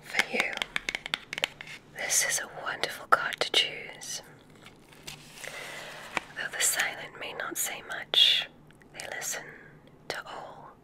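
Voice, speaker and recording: Slow whispering ASMR woman as gentle witch. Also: low-quality recording. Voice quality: breathy whisper. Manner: gentle, deliberate, softly instructing. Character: kindly witch examiner at a magic school. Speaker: ASMR roleplay performer. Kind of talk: whispered ASMR medical exam roleplay.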